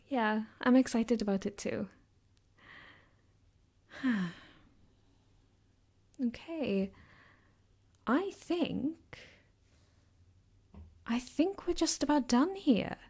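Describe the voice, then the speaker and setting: A woman playing a caring, affectionate girlfriend in an ASMR comfort roleplay, speaking softly and gently with hesitant, reassuring warmth. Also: fairly high pitch, animated, slow, long pauses, husky, exaggerated voice. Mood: tender. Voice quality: soft, gentle, sometimes dropping to a whisper.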